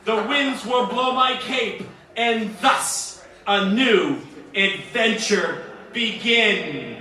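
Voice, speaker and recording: A man's voice: sits high, drawn-out words, pushed and bright, recorded from a distance, heavily acted. A man playing a grandiose, melodramatic superhero in an old-time radio serial, pompous and declamatory. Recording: very echoey noisy hall.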